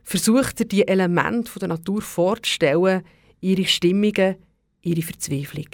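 Creaky radio host, a woman. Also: fairly low pitch, moderate pace, no echo, studio quality. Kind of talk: radio presentation introducing audio plays.

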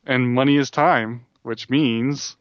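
A man co-hosting a podcast, playful and quick to laugh as he asks questions. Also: medium pitch, fairly even intonation, no room sound. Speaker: podcast co-host asking questions. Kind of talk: casual comedic chat. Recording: dull dry lo-fi audio.

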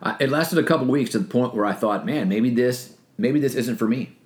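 A middle-aged man co-hosting a podcast in a relaxed, joking, teasing way. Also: mid-range voice, brisk. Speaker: podcast co-host, personal trainer. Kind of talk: casual podcast chat.

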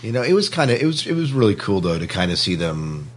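A man chats casually as a podcast co-host. His voice is very low and distinctly husky, with the loose delivery of informal talk between fans. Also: very animated, fast. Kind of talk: casual fan chat.

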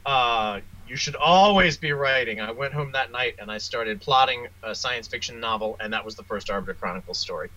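A man speaking as an interview guest, a writer telling wry, self-deprecating anecdotes in a casual, animated way. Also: medium pitch, brisk, few pauses, lo-fi sound.

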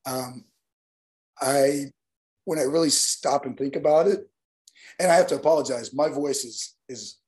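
A hoarse man from Northeast Alabama, a guest talking earnestly and at length in an interview. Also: mid-range voice, a little slow, pauses often, a little mellow. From Northeast Alabama, US South. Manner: earnest, rambling explanations. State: hoarse, partly lost voice. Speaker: guest, nightlife event promoter. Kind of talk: casual interview talk.

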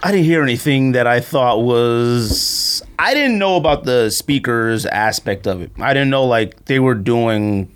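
A man's voice: medium pitch, measured pace, hardly any pauses, smooth. A man hosting a podcast in casual, slangy, conversational talk. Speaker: podcast host. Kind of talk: casual sneaker podcast chat.

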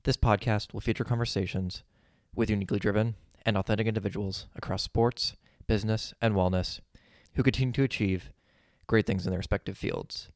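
A man hosting a podcast, reading a measured introduction and asking interview questions. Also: on the low side, fairly even intonation, no echo, studio quality. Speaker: podcast host.

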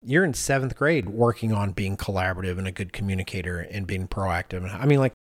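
A man's voice: low-pitched, expressive, quick pace, no echo. Man hosting a podcast, dry close sound. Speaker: podcast host. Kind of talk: conversational podcast discussion.

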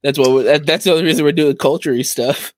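A man's voice: on the high side, fairly even intonation, fast talker. A man co-hosting a podcast in casual banter. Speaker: podcast co-host.

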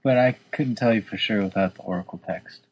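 A man in a casual chat, throwing in playful quick quips. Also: fairly low pitch, dull audio. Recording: clear background noise.

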